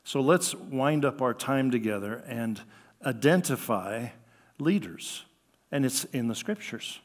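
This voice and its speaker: An older man with an American accent preaches in a conversational way from a church lectern. His voice sits at a middle pitch and rings with the long reverb of the hall. He delivers with animation, slipping into the words of the people he quotes. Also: animated, measured pace. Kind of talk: sermon, conversational. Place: church sanctuary at a lectern, indoors.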